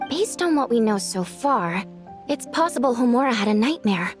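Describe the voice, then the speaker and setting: A woman voicing a gentle, polite young female yokai in a video game, earnest and a little hesitant. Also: on the high side, animated, normal speed, over music, lightly acted. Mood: earnest explaining.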